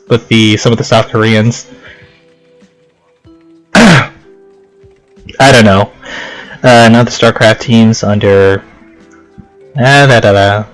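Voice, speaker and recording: A man's voice: on the low side, poor audio. A young man giving casual, rambling let's play commentary, chatting and reading game text aloud.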